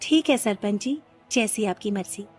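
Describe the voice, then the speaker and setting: A woman's voice: medium pitch, lively intonation, normal speed, slightly rough, soft background music. A woman playing an honest, hardworking food-cart wife in a Hindi cartoon story, earnest and respectful.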